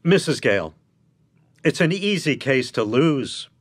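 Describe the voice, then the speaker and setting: An actor playing a shrewd, blunt defence lawyer in a radio drama, lecturing in an emphatic, articulate way. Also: male voice, mid-range voice, very animated, measured pace, husky. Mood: coolly firm.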